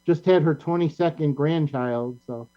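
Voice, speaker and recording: A man's voice: a little high, normal speed, crowd noise. A man hosting a casual group chat. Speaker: host. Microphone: video call. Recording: muffled online call with hum.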